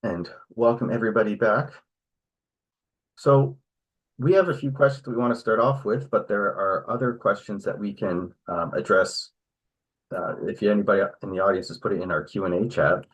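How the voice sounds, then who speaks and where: A man presenting and moderating an online webinar, explaining in a measured way and often checking in with "right?". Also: lowish voice, breathy edge, poor audio.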